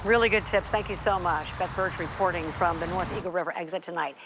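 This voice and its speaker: A woman's voice: fairly low pitch, quick pace, background noise. A woman with an American accent anchoring a live television news broadcast, handing off to reporters.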